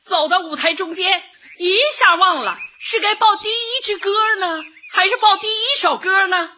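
An actress playing a cheerful, earnest older housewife and community organizer in a light comedy radio drama, chatty and expressive. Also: female voice, very high-pitched, moderate pace, dull audio, slight background noise. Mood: amused, sheepish.

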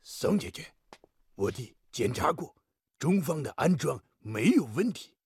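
An actor playing a polite, deferential Japanese technical expert, a junior colleague, in a radio drama. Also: male voice, fairly high pitch, sing-song, a little slow, a little mellow, husky, full character voice. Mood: polite, explanatory.

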